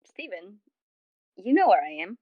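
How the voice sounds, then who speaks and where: A woman's voice: mid-range voice, sing-song, measured pace, dry recording. A woman co-hosting a podcast over an online voice call, casual, teasing and irreverent. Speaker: podcast co-host. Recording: dull, lo-fi voice-chat line.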